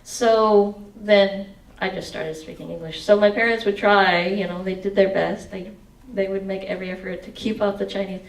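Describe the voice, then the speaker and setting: A woman's voice: medium pitch, normal speed, hardly any pauses, roomy sound, distant mic, poor audio. A woman in her forties reading aloud from her memoir with a headset mic, then answering audience questions. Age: 40s. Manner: expressive reading, sings snatches of song. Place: indoor meeting room.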